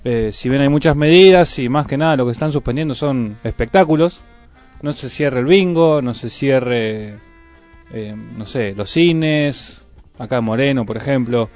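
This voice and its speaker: A man's voice: medium pitch, moderate pace, darkish tone. An Argentine man hosting a radio show with unscripted commentary on the news, in a studio with music behind. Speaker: radio host. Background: faint music.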